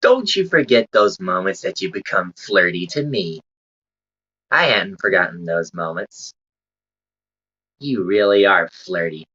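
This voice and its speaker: A man with a thick American Southern drawl chats loosely with his livestream viewers and swears now and then. His voice sits on the low side, and the recording sounds dull and lo-fi. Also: bright, forceful tone. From Southern United States. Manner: rambling, laid-back, casual swearing. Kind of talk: casual livestream chat with viewers.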